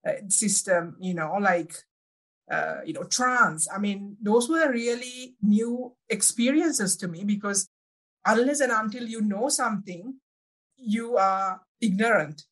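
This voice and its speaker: Halting Sri Lankan-accented woman. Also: medium pitch, fairly bright tone, poor audio. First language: not English (English is second language). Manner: halting, searching for words, self-correcting. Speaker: interview guest. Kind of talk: reflective personal interview answers.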